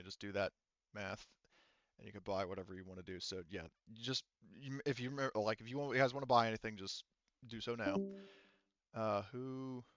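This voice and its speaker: A man speaking casually as game master of an online tabletop game, explaining things in a halting, rambling way. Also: medium pitch, a bit bright, clear, resonant tone, no echo, highs cut off.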